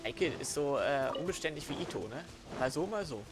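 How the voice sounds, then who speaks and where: Creaky-voiced German man, lively pitch. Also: brisk. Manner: rambling, teasing banter with a co-player. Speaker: let's play host. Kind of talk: casual gameplay commentary. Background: game music.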